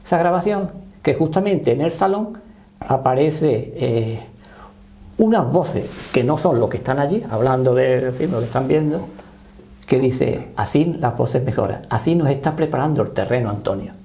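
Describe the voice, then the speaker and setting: A man in his sixties with a Spanish accent explains and recounts his experience in a halting, conversational way, often checking he is understood. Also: medium pitch, animated, mellow, a little hiss or hum. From Spain. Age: 60s. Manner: halting, often seeking confirmation. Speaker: interview guest, paranormal voice researcher.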